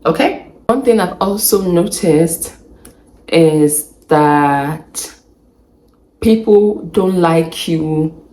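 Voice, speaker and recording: Low-voiced Nigerian woman in echoey room. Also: lively intonation, extremely slow, pauses often, mellow. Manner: chatty, laughs often, repeats herself for emphasis. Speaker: vlogger. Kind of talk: casual advice vlog.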